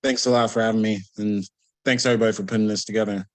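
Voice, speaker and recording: A man on a video call, a guest author explaining an idea in a measured, hesitant way with many pauses. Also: lowish voice, fairly even intonation. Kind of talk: academic explanation.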